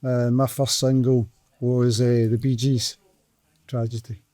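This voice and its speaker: A man's voice: mid-range voice, flat delivery, unhurried, mellow, no echo. A man of sixty, telling easygoing, rambling stories. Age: 60s. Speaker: music fan guest. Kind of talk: casual reminiscing.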